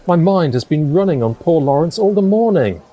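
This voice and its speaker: Expressive, dreamy poetic gentleman. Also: on the high side, moderate pace. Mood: mournful. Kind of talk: period audio drama acting.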